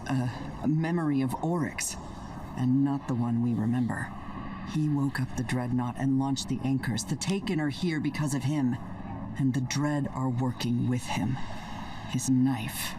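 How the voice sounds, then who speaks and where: Un actor haciendo un comandante firme y serio. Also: male voice, medium pitch, steady tone, background noise, close to an everyday voice. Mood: resolute. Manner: measured briefing, firm. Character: steady military commander man, grave and resolute. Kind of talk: game cutscene. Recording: distant, with clear game ambience.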